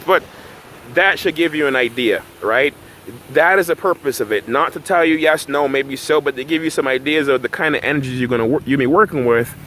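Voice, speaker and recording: A man's voice: medium pitch, fairly bright tone, a little hiss or hum. Casual man talking outdoors in a park. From Barbados. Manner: conversational, often tags "right?". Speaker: numerology coach. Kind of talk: casual vlog monologue. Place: outdoors in a park by road works.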